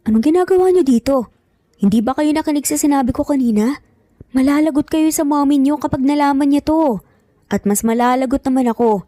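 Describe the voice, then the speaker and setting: A woman's voice: sits high, brisk, dark tone, dry recording, studio quality, soft background music, pitched above the performer's own voice, natural voice. A woman voicing a worried, stern young father in an audio story, firm and urgent. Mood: exasperated.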